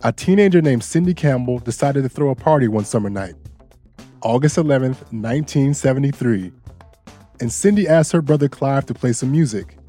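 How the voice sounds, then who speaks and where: A man hosting a news podcast, narrating a personal story in a relaxed, lightly joking way. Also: medium pitch, normal speed, faint music behind. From Bangkok, Thailand. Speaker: guest host and audio engineer.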